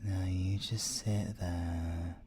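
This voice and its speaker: A British man voicing a possessive, gloating male siren in an ASMR audio roleplay, theatrical and teasing, with a breathy voice that slips into whispers. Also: low-pitched, level tone, darkish tone, a little hiss or hum. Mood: gloating, hypnotic. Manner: theatrical, teasing, slow and deliberate, slipping into whispers.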